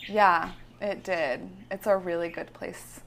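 A woman's voice: fairly low pitch, steady tone, slow, gravelly, fairly bright tone, slight background noise. A woman from California talking casually about her life and art in an interview, rambling with many fillers and restarts. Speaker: visionary artist guest. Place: her home.

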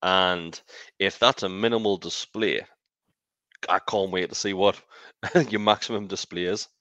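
A man's voice: deep voice, steady tone, unhurried, pushed and bright, no room sound. A man hosting a football podcast in a rambling, casual way, laughing easily. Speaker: podcast host. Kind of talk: casual chat.

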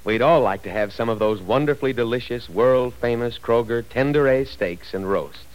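A man's voice: medium pitch, moderate pace, clear, resonant tone, poor audio. A man reading a radio commercial in a cheerful, persuasive 1940s style. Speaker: commercial announcer.

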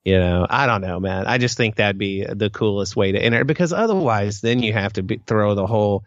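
A man's voice: on the low side, a little fast, dry recording, highs cut off. A man co-hosting a podcast in casual fan discussion, thinking aloud and hedging as he goes. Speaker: podcast co-host.

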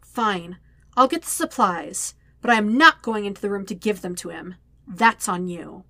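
A woman's voice: mid-range voice, moderate pace, sharp tone. A woman voicing a stubborn, scornful young woman in a story reading, sarcastic and curt. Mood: grudging.

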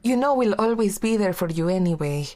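A woman's voice: medium pitch, lively intonation, a little fast, dark tone, close to an everyday voice. A woman playing a motherly woman. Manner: switches into Spanish, tender scolding. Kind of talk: audio drama acting.

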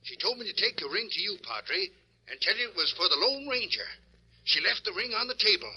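High, piercing telegraph operator. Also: male voice, big pitch swings, brisk, sharp tone, slightly rough, heavily acted, poor audio. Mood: earnest, agitated. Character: excitable male telegraph operator, earnest, rattled townsman. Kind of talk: old-time radio western acting.

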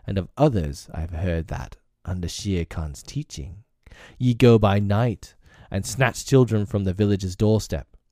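A man doing a proud, worn-out old wolf who leads a pack, low and dark in tone, speaking slowly with grave formality. Heard dry and close, like a storybook read at the mic. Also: dry recording, lightly acted. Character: old grey male wolf pack leader, proud and weary. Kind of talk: storybook reading.

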